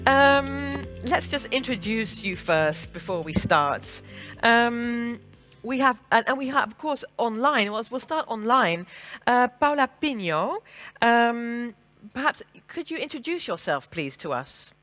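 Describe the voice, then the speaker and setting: A woman with an English accent moderating a conference panel, frank and brisk with frequent fillers and restarts. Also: fairly high pitch, animated, a bit bright, faint music behind. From England and the Netherlands. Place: conference stage.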